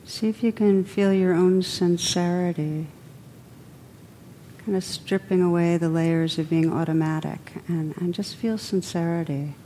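A woman meditation teacher leading a guided meditation in a gentle, unhurried manner, pausing between phrases. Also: on the low side, fairly even intonation, a little hiss or hum, poor audio.

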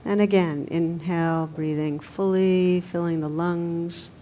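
A woman meditation teacher leading a guided meditation in a gentle, unhurried manner with long pauses, on a recording with some noise. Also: fairly even intonation. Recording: dull recording with noise and rumble.

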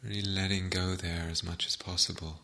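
A man giving guided meditation instructions as a teacher, gently and unhurriedly, with long pauses. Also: deep voice, flat delivery.

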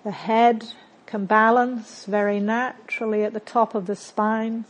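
A woman teaching meditation, guiding a body scan in a calm, gentle way with long pauses between short phrases. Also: fairly high pitch, rough recording.

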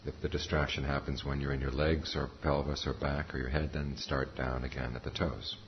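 A man giving guided meditation instruction as a teacher, calm and measured, pausing between short phrases. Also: extremely low pitch. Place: meditation center talk. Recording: muffled recording with faint background noise.

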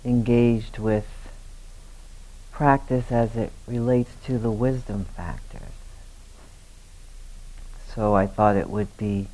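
A man leading a guided meditation as a teacher, speaking gently in short, unhurried phrases with long pauses. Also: lowish voice, fairly even intonation, poor audio.

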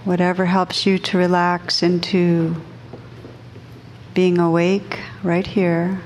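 A woman meditation teacher leading a guided meditation for a group, speaking gently and unhurriedly with long pauses between phrases. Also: on the low side, completely flat delivery, slight background noise.

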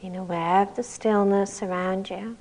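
A woman leading a guided meditation as a teacher, speaking gently and calmly in unhurried phrases with long pauses. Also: medium pitch, poor audio.

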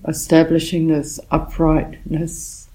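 A woman teaching a guided meditation in a gentle, calm manner, speaking in short phrases with long pauses. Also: low voice, low-quality recording. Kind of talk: guided meditation, softly spoken instruction.

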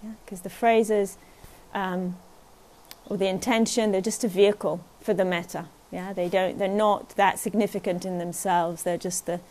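Soft-spoken woman teaching meditation. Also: medium pitch, steady tone. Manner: gentle, unhurried, often checks in with "yeah?". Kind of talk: guided meditation talk at a retreat.

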